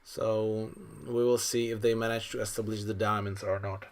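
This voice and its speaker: A man around forty giving live bridge commentary as a coach, thinking aloud in an analytical, unhurried way. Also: on the low side, level tone. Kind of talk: live card game commentary.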